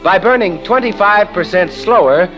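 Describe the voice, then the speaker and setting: A man reading a 1930s radio advertisement as announcer, emphatic and measured, on a vintage recording. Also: sits high, lively intonation, a bit bright, soft background music, poor audio. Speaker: commercial announcer.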